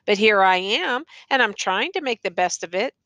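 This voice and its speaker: A woman's voice: medium pitch, lively intonation, normal speed, bright, forceful tone, no echo. A woman voicing an earnest, exasperated male schoolteacher who pleads insistently in educated diction in an audiobook story. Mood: pleading.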